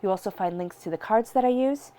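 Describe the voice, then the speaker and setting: Woman tarot reader with a head cold, congested and nasal-sounding, chatty direct-to-camera delivery, middle pitch. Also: brisk, dark tone. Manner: chatty, speaks straight to viewers.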